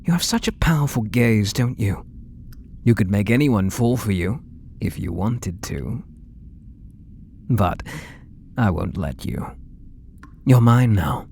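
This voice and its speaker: An obsessive British male artist played by a man murmurs to his beloved in an ASMR roleplay. His soft, breathy voice is unhurried and very expressive in pitch, sliding into whispers with possessive tenderness. There is noticeable background noise. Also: full character voice. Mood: possessive, menacing. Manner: tender, slow, menacingly intimate.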